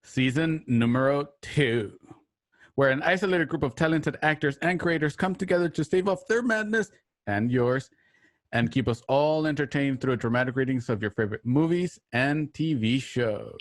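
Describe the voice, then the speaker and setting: A man's voice: mid-range voice, expressive, normal speed, dry recording, studio quality. A man hosting a radio drama show, introducing it with a playful, theatrical announcer delivery and joking banter.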